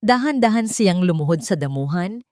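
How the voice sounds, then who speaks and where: A woman narrates a scripted drama as an audiobook reader. Her pitch is a little lower than most women's. The recording is dry, with very little room sound. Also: lively intonation, moderate pace, a little mellow, dry recording.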